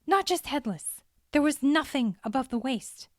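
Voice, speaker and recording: Casting: excitable, earnest male forest ranger in an audiobook, read by a woman, shaken, breathless, pitched up. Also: normal speed, no room sound, studio quality. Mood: shaken, frantic. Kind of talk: audiobook character dialogue.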